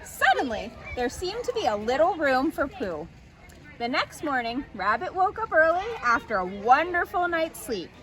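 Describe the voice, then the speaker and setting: High-voiced, animated woman storyteller. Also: moderate pace, poor audio. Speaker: storytime reader, narrator. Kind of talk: animated children's story narration.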